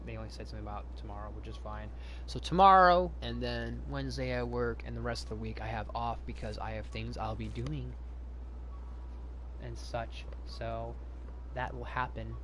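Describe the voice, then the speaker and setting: A man's voice: mid-range voice, fairly even intonation, normal speed, noisy background. A man hosting a casual live stream, chatting to viewers in a rambling way, repeating himself and restarting his sentences.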